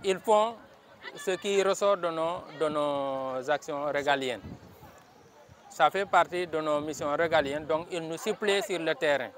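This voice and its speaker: A man with a Senegalese accent and a fairly high-set voice makes a semi-formal statement as a local official. He is earnest and stresses points by repeating his phrases. It is a field recording made outdoors, with light noise behind him. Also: unhurried, a bit bright. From Casamance, Senegal.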